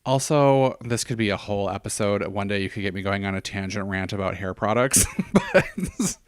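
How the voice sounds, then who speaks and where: Chatty low-voiced man with a slight lisp. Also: lively intonation, moderate pace, clear, resonant tone, dry recording, clean studio sound. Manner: chatty, rambling with many fillers. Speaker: podcast co-host; professional hair stylist. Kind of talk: casual chat.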